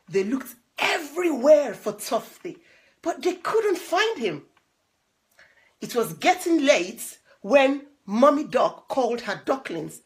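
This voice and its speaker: A woman reading a children's picture book aloud in an expressive, dramatic way, with little asides to the listeners. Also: a little high. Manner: dramatic, animated, with drawn-out repeated words.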